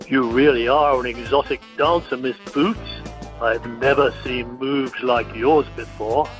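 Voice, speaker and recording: An amateur actor plays a gallant male airline captain, flirting smoothly in radio drama style. Faint music sits behind him. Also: mid-range voice, fairly even intonation, unhurried, close to an everyday voice, low-quality recording. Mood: flirtatious. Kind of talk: amateur radio play acting.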